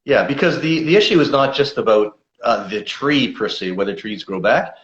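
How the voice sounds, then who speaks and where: A man's voice: mid-range voice, lively intonation, moderate pace, a bit bright. A man answering interview questions as a conservation campaigner, explaining steadily with frequent fillers. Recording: dull lo-fi sound.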